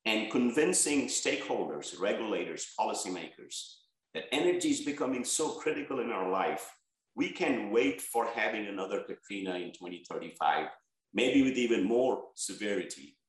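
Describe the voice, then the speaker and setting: A man's voice: on the low side, lively intonation, normal speed, very echoey, low-quality recording. A man from Bangladesh, an energy research head, giving earnest interview answers and listing points with stress on key words.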